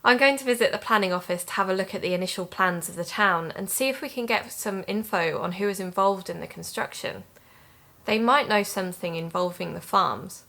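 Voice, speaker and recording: A woman's voice: medium pitch, a little fast, gravelly, sharp tone. A woman playing an earnest sleuth podcaster. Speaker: investigative podcaster. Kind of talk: audio drama narration and dialogue.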